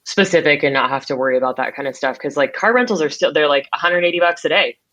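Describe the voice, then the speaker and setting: A woman's voice: low voice, fast, a bit bright. A woman hosting a podcast, chatting in a casual, upbeat way with plenty of fillers. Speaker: podcast host. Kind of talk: casual interview chat. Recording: dull lo-fi call audio.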